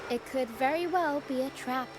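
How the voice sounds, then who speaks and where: An actress voicing a shrewd, wary and observant young woman in a video game, with a knowing, measured delivery. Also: high voice, music in the background, close to an everyday voice. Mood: suspicious. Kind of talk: video game story voice acting. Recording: clear background noise.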